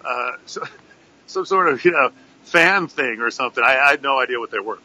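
A man in his fifties joining a casual sports chat as a guest, agreeable and quick to affirm. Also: mid-range voice, lively intonation, bright, forceful tone, no room sound, highs cut off. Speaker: panel guest.

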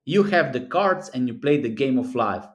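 A man's voice: mid-range voice, expressive, moderate pace. European man. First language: a South Slavic language. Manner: earnest, repetitive, talks through numbers with many fillers. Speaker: sports betting analyst. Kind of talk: screen-recorded commentary. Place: small room indoors.

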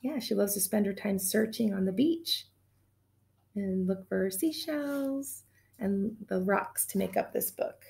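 A woman in her thirties, a teacher reading a picture book aloud to children in an animated, gushing way, recorded on a webcam in a small room at home. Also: mid-range voice, measured pace, vocal fry. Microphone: webcam at desk distance.

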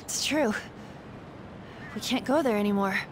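A woman voicing a fierce, taunting masked teenage girl in a video game, over loud game music. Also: medium pitch, a little slow, background noise, close to an everyday voice. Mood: calm. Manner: cold, taunting, then furious. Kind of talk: video game cutscene voice acting.

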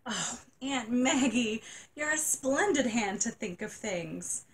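A woman's voice: on the high side, animated, takes it slowly, fairly bright tone, a little hiss or hum, pitched above the performer's own voice, full character voice. A woman voicing a tearful, gushing nine-year-old girl in a storybook reading. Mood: delighted. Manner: sobbing, breathless and gushing.

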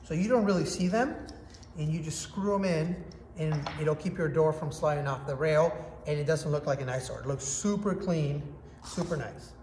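A man's voice: fairly high pitch, moderate pace, darkish tone. A man in his thirties giving an upbeat, casual product review to a phone camera in a workshop. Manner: upbeat, enthusiastic, demonstrating with hands. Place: indoor workshop with hard walls. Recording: echoey room, faint background noise.

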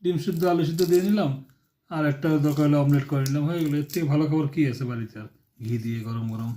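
A man's voice: fairly high pitch, quick pace, mellow, a little hiss or hum. A young man, chatting offhandedly as a grown son on a family phone call. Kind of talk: casual family phone chat.

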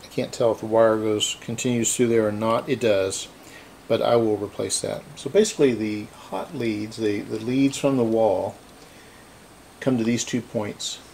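A middle-aged man hosting a hands-on repair video, explaining casually and haltingly as he thinks aloud at an indoor workbench. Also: on the low side, vocal fry, darkish tone, slight background noise. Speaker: repair hobbyist host. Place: indoor cluttered electronics workbench.

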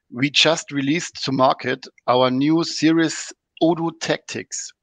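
A man's voice: mid-range voice, creaky, fairly bright tone, no room sound. A man, a podcast guest explaining products in a methodical, technical way. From Germany.

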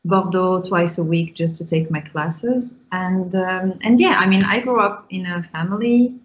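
A woman from Morocco, in her thirties, is a guest on a video call and talks through her thoughts slowly. She pauses on ums and restarts her sentences. Her voice sits a little low, and the call audio is muffled. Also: steady tone, slight background noise. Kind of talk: casual interview talk. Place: small room indoors. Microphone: video call with earphones.

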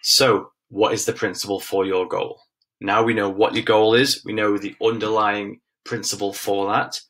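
A man's voice: lowish voice, little pitch movement, moderate pace, a bit bright. A man in his thirties giving an informal online fitness lesson in a direct, instructive way, repeating key phrases for emphasis. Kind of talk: informal webinar lesson with slides.

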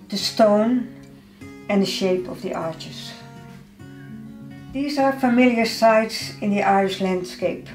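An older woman from the Netherlands with a Dutch accent, calmly explaining her own paintings in her studio. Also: mid-range voice, rough recording. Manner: calm, measured, slightly non-native phrasing. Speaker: artist. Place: home art studio, indoors.

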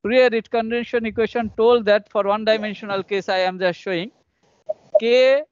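High-pitched Indian man lecturing, dull audio. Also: fairly even intonation, clear, resonant tone. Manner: repetitive, halting step-by-step explanation. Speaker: engineering professor. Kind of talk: live online lecture, explaining equations. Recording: dull lo-fi online call audio.

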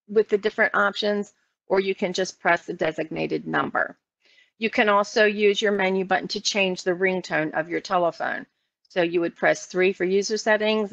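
A dull, slightly lo-fi online meeting recording of an American woman trainer. Her voice sits at a middling pitch with a noticeable creak. She explains each step in an instructional way, with very little room sound. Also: fairly bright tone, no echo. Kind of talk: step-by-step software and phone training walkthrough. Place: online meeting screen-share, voice only.

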